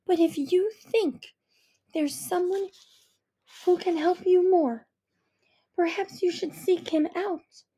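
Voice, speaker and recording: A woman voicing a mother who speaks softly but fiercely to her daughter. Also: very high voice, fairly even intonation, slow, long pauses, voice pushed up, poor audio. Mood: hushed. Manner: soft, earnest, emotional. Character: tender, fierce mother with a hushed voice.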